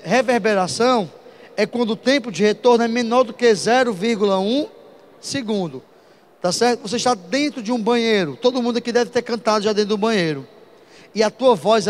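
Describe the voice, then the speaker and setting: A man teaching an exam-prep physics lesson, talking straight to his students with lively asides. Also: high-pitched, moderate pace, a little hiss or hum.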